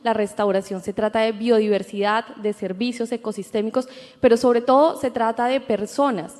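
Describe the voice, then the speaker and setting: Colombian woman in her 30s, higher voice, steady explaining tone, reverberant hall. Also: normal speed. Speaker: panelist, entrepreneur. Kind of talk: panel talk. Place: conference hall.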